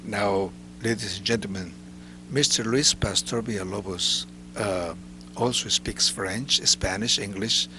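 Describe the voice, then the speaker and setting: A man's voice: fairly low pitch, slow pace, background noise. A man hosting a studio interview, speaking with effusive praise. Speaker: interview host. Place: recording studio.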